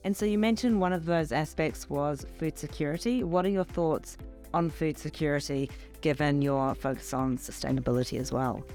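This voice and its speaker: A woman's voice: sits low, normal speed, darkish tone, faint music behind. A woman hosting an interview, introducing her guest and asking questions in a conversational but prepared style. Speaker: interview host.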